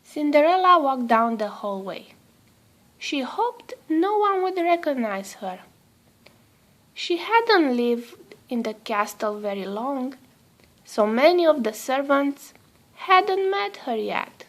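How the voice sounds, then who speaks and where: A woman reading a children's story aloud with deliberate, expressive phrasing. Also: high-pitched. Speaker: storybook reader. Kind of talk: scripted read-aloud narration for children.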